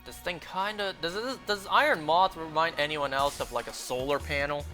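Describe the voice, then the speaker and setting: A young man with a North American accent streams a game and chats off the cuff. His voice is fairly high and bright with an edge to it. Game music plays behind his rambling, casual talk. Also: moderate pace. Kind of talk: casual chat while playing a video game live.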